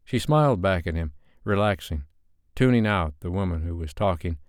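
A man's voice: low-pitched, lively intonation, moderate pace, dry recording, clean studio sound. A man narrating scripted fiction as an audiobook reader.

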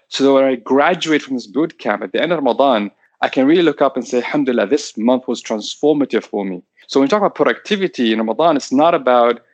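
A man from Tanzania, a podcast guest in his thirties, telling his story in a quick, animated conversational way with lots of fillers. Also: mid-range voice, dry recording. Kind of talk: conversational interview.

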